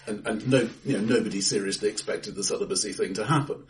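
Man, English accent, middle-aged or older, lower pitch, halting wry delivery, casual podcast chat, distant mic in live room. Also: a little hiss or hum. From England. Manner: halting, wry, repeats word starts. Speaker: podcast co-host. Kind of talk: casual film discussion. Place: indoor room, recorded face to face.

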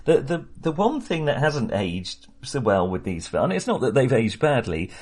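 A man's voice: medium pitch, sing-song, moderate pace, darkish tone, slightly rough. A middle-aged man hosting a casual film discussion podcast with wry, ironic humour. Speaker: podcast host.

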